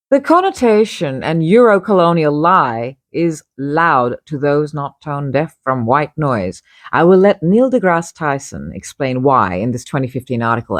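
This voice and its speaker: Expressive woman reading aloud with dry, close sound. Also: deep voice, no room sound. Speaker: magazine publisher reading own article. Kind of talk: article read aloud with chatty asides.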